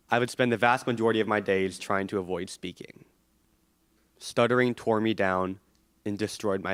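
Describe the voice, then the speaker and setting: A teenage boy giving a prepared speech at a school board meeting, earnest and measured. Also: fairly low pitch. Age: teens. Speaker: student speaker.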